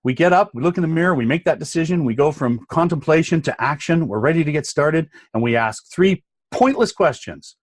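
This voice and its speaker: A man's voice: a little high, lively intonation, fairly quick, hardly any pauses. A middle-aged man, a coach guesting on a podcast, speaking emphatically and motivationally in punchy lists. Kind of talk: podcast interview.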